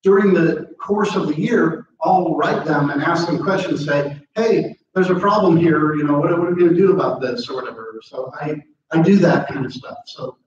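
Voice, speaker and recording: A middle-aged American man, a state legislator, talking casually to a meeting and rambling with many fillers and restarts. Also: a little high. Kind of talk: casual talk with audience questions. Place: large meeting room. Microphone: far camera, no mic. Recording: echoey room, distant and dull.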